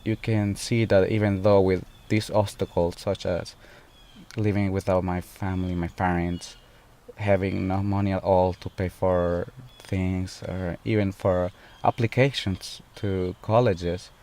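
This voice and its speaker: A young man of nineteen speaks slowly and earnestly. His voice sits low and stays almost level, rising and falling very little. He pauses often in the middle of his thoughts. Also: flat delivery, mellow. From El Salvador. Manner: halting, earnest, pauses often mid-sentence. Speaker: student. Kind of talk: personal testimony in a documentary video.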